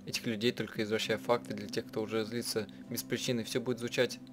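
A man narrating a visual novel playthrough as a live translator, haltingly, rephrasing as he goes. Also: on the low side, flat delivery, music in the background. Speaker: let's-play narrator and translator.

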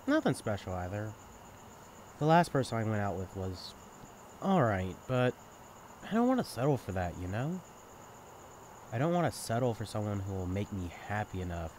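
A man's voice: fairly low pitch, a little mellow, slight background noise. A young man acting in an intimate audio roleplay as a sibling's best friend, talking candidly and casually in a caring, teasing way. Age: young adult. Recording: night ambience with chirping crickets. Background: crickets and insects, outdoor ambience.

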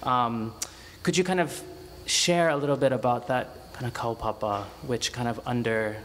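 A man's voice: mid-range voice, normal speed, a bit bright. A young man moderating an art panel, asking a long question and restarting words as he searches for them. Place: indoor art gallery. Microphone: stand mic. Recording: reverberant gallery, faint hiss.

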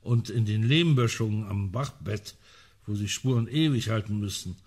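A man's voice: fairly low pitch, moderate pace, a little mellow, husky. An actor playing a well-informed male amateur sleuth in a radio crime drama, talking in a dry, precise, ironic way. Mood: matter-of-fact.

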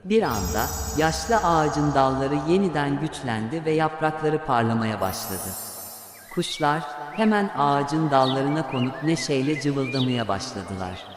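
A man's voice: on the high side, animated, a little slow, a little hiss or hum. A man narrating a scripted children's fairy tale as a storyteller. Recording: echoey long reverb, background sounds.

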